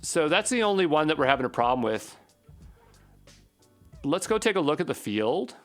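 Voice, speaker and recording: A man's voice: a little high, sing-song, normal speed, faint music behind. A man giving an unscripted coding walkthrough as an instructor, thinking aloud and muttering short repeated phrases as he puzzles things through. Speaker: programming instructor.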